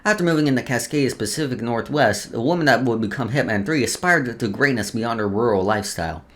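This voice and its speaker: A man's voice: mid-range voice, animated, fast, a bit bright. A man hosting a let's play, reading game lore aloud with casual asides and often stumbling over words before restarting.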